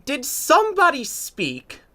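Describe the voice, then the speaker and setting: An actor playing a haughty, sneering rich suitor in an audiobook, with pompous, mocking theatrical delivery. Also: male voice, very high voice, huge pitch swings, takes it slowly, pushed and bright, heavily acted. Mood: sneering menace.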